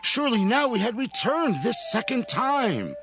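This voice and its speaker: An actor playing an earnest grown son in a Bible audio drama, speaking in a solemn, emphatic, declamatory way. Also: male voice, very high-pitched, sing-song, moderate pace, music in the background, full character voice. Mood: earnest pleading. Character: earnest adult son pleading with his aged father.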